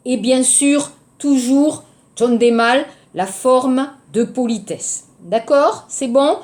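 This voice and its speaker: French teacher lady, southern accent, talks real slow, highish voice, repeats stuff for students. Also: a little mellow. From southern France. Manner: didactic, repeats key words, checks understanding. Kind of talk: recorded online language lesson.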